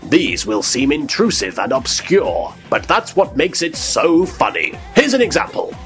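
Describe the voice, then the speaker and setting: A man's voice: a little high, huge pitch swings, measured pace, a bit bright, faint music behind. A man delivering scripted comedic tutorial narration in a pompous, smug and sarcastic manner. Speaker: presenter of a parody tutorial.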